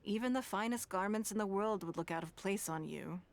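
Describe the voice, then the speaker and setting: A woman's voice: medium pitch, a little fast, gravelly, a little hiss or hum, lightly acted. A woman playing a gracious, self-assured noblewoman in a video game, speaking in a polished, composed way. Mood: relieved, confident.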